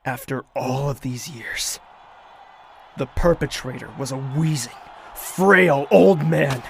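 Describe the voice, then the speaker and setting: Slow, gravelly, vengeful lord, acted by a man. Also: medium pitch, a little hiss or hum. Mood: disgusted, bitter. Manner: measured, solemn. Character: cold, vengeful lord commanding mercenaries, grieving his sister. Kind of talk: dramatic first-person audio drama narration.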